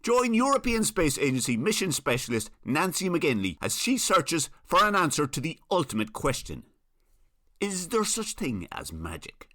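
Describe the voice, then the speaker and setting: A man's voice: medium pitch, pushed and bright, dry recording, studio quality. A man narrating in a theatrical comedic radio style, with an arch, dramatic delivery.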